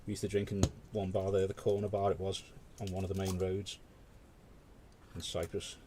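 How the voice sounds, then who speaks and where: Deep-voiced British man, chatty. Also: completely flat delivery, normal speed, noisy background. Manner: rambling, conversational, full of asides. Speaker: streamer hosting a paint-along. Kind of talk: casual chat while painting.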